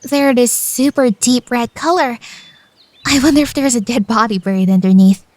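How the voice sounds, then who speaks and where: A woman voicing a friendly, considerate high school senior girl in an anime fandub, with a thoughtful, gentle manner. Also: normal speed, natural voice. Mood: friendly, curious. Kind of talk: anime fandub radio play.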